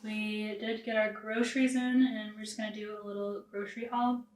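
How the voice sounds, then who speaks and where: A woman's voice: mid-range voice, little pitch movement, brisk, background noise. A young woman talking casually to camera in a weekend vlog, chatty and laughing often between sentences. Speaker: vlogger.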